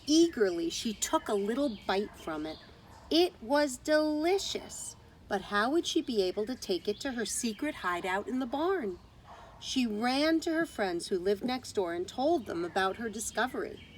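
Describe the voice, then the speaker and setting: A woman's voice: a little high, very animated, moderate pace, slight background noise, poor audio. A woman teacher reading a children's story aloud outdoors, expressively, with dramatic emphasis on key words.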